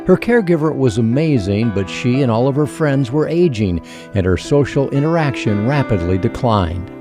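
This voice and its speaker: A man's voice: mid-range voice, animated, normal speed, music in the background. A man narrating a scripted television feature as one of the show's hosts. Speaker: TV host and narrator.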